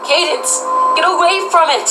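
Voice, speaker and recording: A woman's voice: very high voice, moderate pace, heavy background noise. A woman playing an anxious, guilt-ridden pony princess who pleads earnestly in an audio drama. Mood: desperate. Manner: anxious, imploring. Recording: loud music behind the voice.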